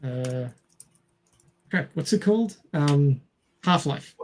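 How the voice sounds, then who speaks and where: A man's voice: fairly high pitch, lively intonation, takes it slowly. A man co-hosting a podcast, chatting casually about films. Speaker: podcast co-host. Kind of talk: casual movie discussion.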